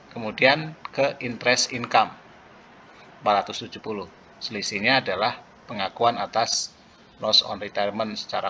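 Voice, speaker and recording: A man giving an explanatory lecture in a halting way, pausing mid-phrase, on a muffled recording. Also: lowish voice, sharp tone, a little hiss or hum. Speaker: accounting lecturer. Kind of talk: explanatory lecture walking through worked journal entries.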